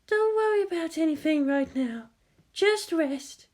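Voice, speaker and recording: A narrator plays a kindly nurse in an audiobook story. She speaks in a raised pitch, soft and hushed, with soothing pity for her patient. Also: lively intonation, a little slow. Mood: tender pity. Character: gentle, pitying female nurse soothing a patient.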